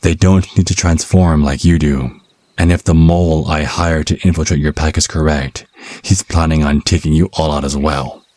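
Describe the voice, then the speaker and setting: A man's voice: a bass voice, fairly even intonation, normal speed, a little mellow, dry recording. A man playing a smug, cunning vampire captive in an ASMR audio drama, taunting with sardonic mock politeness and teasing pet names. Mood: sardonic, explaining.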